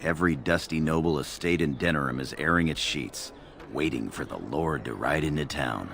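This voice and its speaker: An actor playing a market merchant in a video game, with a salesmanlike, matter-of-fact manner. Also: male voice, a bass voice, moderate pace, gravelly, fairly bright tone, a little hiss or hum, exaggerated voice. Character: male market merchant hawking arms and armor.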